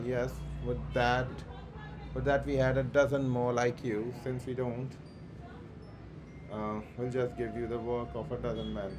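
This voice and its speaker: A man streaming a game, reading the on-screen dialogue aloud slowly and plainly, with stumbles and restarts. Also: medium pitch.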